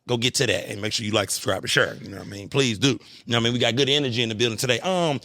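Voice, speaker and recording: A man hosts a podcast and talks quickly, with a gravelly, bright edge to his voice. He chats casually in slang and keeps hyping up his guest. The sound is dry and close. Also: medium pitch, dry recording. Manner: laid-back, slangy, hypes up his guest. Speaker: podcast host. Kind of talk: casual chat. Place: cigar lounge.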